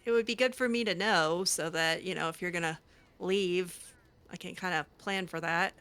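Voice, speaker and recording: A woman's voice: fairly low pitch, normal speed, a bit bright. A woman improvising in character over a fantasy game, speaking casually with a hesitant, sassy manner. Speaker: game streamer roleplaying her character.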